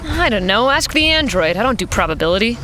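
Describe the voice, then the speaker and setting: A woman's voice: on the high side, very animated, fast talker, noisy background. An actress playing a blunt, sceptical woman mech pilot in an audio drama, speaking casually. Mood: dismissive.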